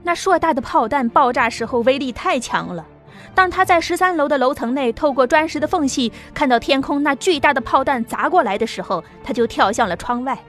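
Casting: female audiobook narrator, high voice, scripted dramatic delivery over faint music. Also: lively intonation, fairly quick, a bit bright. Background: background music.

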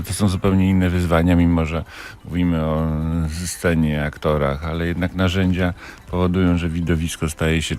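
Halting man with a deep voice. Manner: halting, stammering repetitions. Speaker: theatre director, interview guest. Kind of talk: reflective interview talk.

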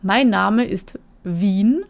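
A woman in a relaxed commentary chat, reading out online listings and musing over them. Her voice has a gravelly, fry-tinged texture and sits at a moderate pitch. She keeps a casual, questioning manner, often turning to her co-host. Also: very slow.

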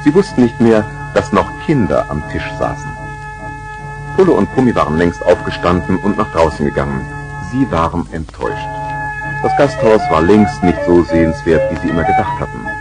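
An actor playing the narrator of a children's radio play, telling the story from a script. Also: male voice, sits low, big pitch swings, moderate pace, rough recording. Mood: storytelling. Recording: 1970s vinyl record with music.

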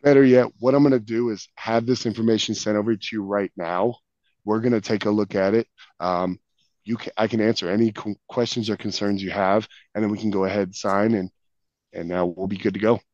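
A hoarse man on a video call reciting sales closing lines with a confident, scripted delivery. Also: fairly low pitch, steady tone, fairly quick, darkish tone, dry recording, highs cut off. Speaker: sales trainee. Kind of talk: sales role-play.

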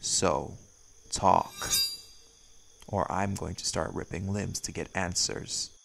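A man playing a brash, threatening male werewolf in an audio roleplay, gruff and hostile. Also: sits low, fairly even intonation, takes it slowly, a bit bright, a little hiss or hum, exaggerated voice. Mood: menacing. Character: brash, menacing male werewolf hunting a vampire. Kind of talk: dramatic audio roleplay acting.

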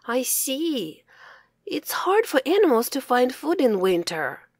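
Woman playing a patient mom, slow and animated. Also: high voice, no echo, pitched above the performer's own voice, heavily acted. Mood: comforting. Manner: reassuring, patient explaining. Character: kind, comforting mother of a young boy.

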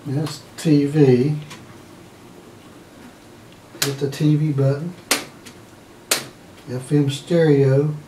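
Older man muttering slowly, far from mic. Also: a little high, flat delivery, dark tone. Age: older, around 60s. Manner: thinking aloud in short fragments while handling an object. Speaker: hobbyist demonstrator testing old electronics. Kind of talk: casual, muttered hands-on demonstration. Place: indoor room at home, seated at a wooden table. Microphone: camera mic a few feet away. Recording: roomy, distant mic, noisy with handling clicks.

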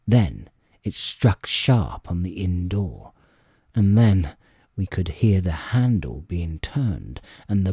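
A man narrating an adventure audiobook in an expressive, measured storytelling manner. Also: fairly low pitch, slightly rough, dry recording, clean studio sound. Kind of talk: dramatic scripted storybook narration.

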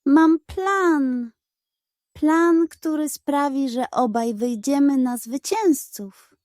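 A woman's voice: high voice, very slow, a little mellow, dry recording, studio quality, pitched above the performer's own voice. A woman voicing a scheming, hungry male pug in a children's storybook, with a pleading, theatrical, self-important manner. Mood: eager, persuasive.

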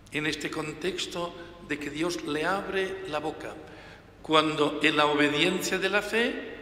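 An older man in his sixties, a priest reading the Gospel at a lectern microphone and then preaching an emphatic homily. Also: a little high. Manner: emphatic, gestures with hands, varies loudness for emphasis. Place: large church, at a lectern. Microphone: gooseneck lectern mic. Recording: reverberant church hall, faint room noise.

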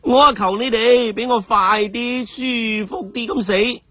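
A storyteller voicing a cheeky, quick-witted young man who pleads with exaggerated earnestness and dramatic sighs. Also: medium pitch, steady tone, no echo, pitched above the performer's own voice, lightly acted. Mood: theatrical pleading. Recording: dull, muffled audio.

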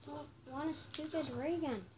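High-pitched child gamer, noisy recording. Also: moderate pace. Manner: talking to self in short reactive remarks. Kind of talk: casual gameplay commentary. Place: indoors, filming a TV screen. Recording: noisy room recording off a screen.

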